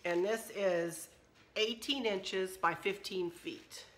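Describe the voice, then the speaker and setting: A middle-aged woman, chatting casually through a craft shopping haul at home, her voice a little hoarse. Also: medium pitch, takes it slowly, a bit bright, a little hiss or hum. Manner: chatty, friendly, rambling. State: slightly hoarse, losing her voice. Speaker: craft vlogger. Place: kitchen at home, indoors.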